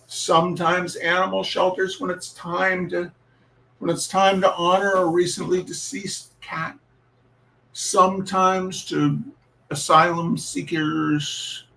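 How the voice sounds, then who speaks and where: Male host, high voice with some fry, theatrical and emphatic, for comic readings and informal audience chat. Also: a bit bright, low-quality recording. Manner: showman-like, emphatic, repeats phrases. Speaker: host reading humour pieces.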